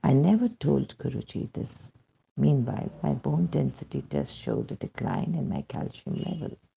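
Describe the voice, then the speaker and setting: A man reading a scripted spiritual testimony in a gravelly, husky voice, with measured, expressive storytelling and emphatic phrase openings. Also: mid-range voice. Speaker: reader of devotional testimonials. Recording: dull, lo-fi voice recording.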